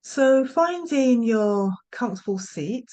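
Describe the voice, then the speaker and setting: A woman leading a guided meditation, speaking in a gentle, nurturing way with unhurried invitations. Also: fairly high pitch, lively intonation. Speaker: yoga nidra meditation guide.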